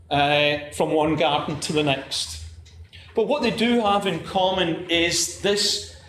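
A middle-aged Scottish man with a Glasgow accent gives a conference talk, pausing on fillers and restarting phrases. His voice sits slightly high. The room is echoey with a faint hiss underneath. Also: a bit bright. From Scotland. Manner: measured, frequent uh fillers and restarts. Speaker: conference presenter. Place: lecture room at a podium, standing.

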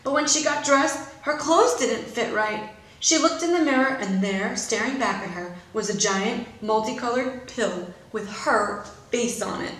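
A woman in her thirties reading a storybook to young children in an expressive, deliberate teacher's manner. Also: a little high. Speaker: pre-K teacher. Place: indoor classroom. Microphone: camera a few feet away. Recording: very echoey room.

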